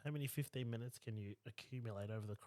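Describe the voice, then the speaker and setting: Animated New man, dry sound. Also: medium pitch, very fast, no echo. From New Zealand. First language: Māori. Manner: rambling, animated, many fillers. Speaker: podcast co-host. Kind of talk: casual podcast conversation.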